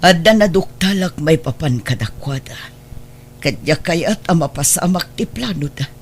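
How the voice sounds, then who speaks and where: A woman's voice: sits low, expressive, unhurried, a little hiss or hum. An actor playing a stern, resolute older wealthy adoptive mother with an Ilocano accent in a radio drama. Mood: solemn, measured.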